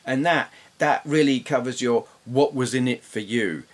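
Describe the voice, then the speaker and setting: A man's voice: mid-range voice, normal speed. A middle-aged man with an English accent, reminiscing casually in an informal, rambling, self-deprecating way. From England. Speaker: host, gamer.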